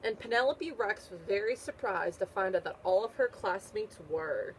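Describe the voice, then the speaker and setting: A woman's voice: medium pitch, lively intonation, moderate pace, a little hiss or hum, rough recording. A woman teacher reading a picture book aloud to young children, repeating questions and answers in an encouraging way. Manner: encouraging, praising the listener.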